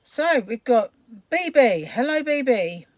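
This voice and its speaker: A woman hosting a crochet livestream, chatting casually and greeting viewers by name, her voice croaky today with frequent throat clearing. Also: high-pitched, big pitch swings, takes it slowly, dry recording. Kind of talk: casual live-stream chat while crocheting.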